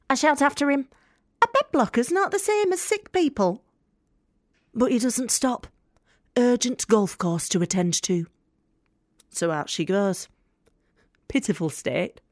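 A woman's voice: mid-range voice, no echo, clean studio sound, lightly acted. A woman acting a bitter young working-class carer in an audio drama monologue, wry, blunt and sarcastic. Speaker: actor in an audio play.

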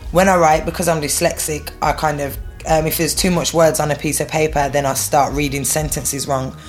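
A woman's voice: low voice, steady tone, brisk, soft background music. A woman who raps, answering interview questions in a frank, defiant, casual way. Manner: frank, defiant, laughs easily. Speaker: rapper being interviewed.

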